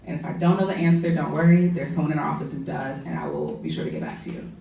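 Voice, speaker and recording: Low-voiced woman lawyer in an echoey room. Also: very animated, quick pace, creaky, slight background noise. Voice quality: loud voice. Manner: earnest, conversational, frequent "um" and "right?". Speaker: policy lawyer giving a public talk. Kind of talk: public talk to a community audience. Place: public library meeting room. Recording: echoey room, mic far off.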